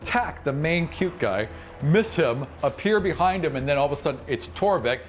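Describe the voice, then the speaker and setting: Higher-voiced male game master, noisy background. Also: lively intonation, normal speed. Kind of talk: improvised tabletop game narration.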